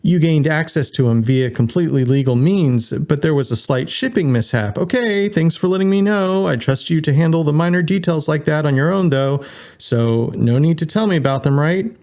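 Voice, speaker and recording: A man's voice: a little high, lively intonation, moderate pace, a little mellow, voice pushed up. A man voicing a breezy, evasive female scientist, a furry animal character in an audio story.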